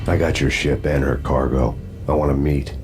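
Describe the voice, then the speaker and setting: A grim, world-weary ex-cop for a game's noir cutscenes. His voice sits extremely low and barely moves in pitch. He talks deadpan, with dry sarcastic wit. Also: moderate pace. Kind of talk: noir game narration and dialogue. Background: music.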